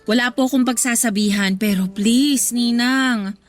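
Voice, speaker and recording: A woman's voice: fairly high pitch, a little slow, soft background music, lightly acted. A young woman playing a polite, uneasy office worker in a radio drama. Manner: polite, uses respectful po.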